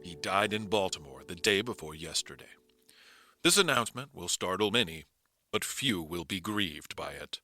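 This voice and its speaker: Male actor as solemn Victorian-era newspaper obituarist, male: lowish, hard-edged voice, grave and declamatory, for a dramatic story reading. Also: sing-song, normal speed, sharp tone, faint music behind, exaggerated voice. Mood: grave, cold. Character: solemn, stern 19th-century obituary writer.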